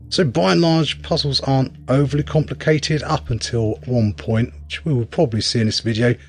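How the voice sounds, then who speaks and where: A man's voice: mid-range voice, a little fast. A man giving casual gameplay commentary as a reviewer, often hesitant and thinking aloud. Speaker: video game reviewer.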